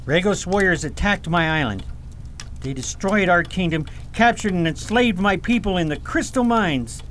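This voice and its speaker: Boy prince played by a man, serious, determined, higher pitch, fantasy audio drama with background noise. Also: animated, normal speed, a bit bright. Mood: defiant. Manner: terse, serious. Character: earnest, stubborn young boy prince. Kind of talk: fantasy radio drama acting.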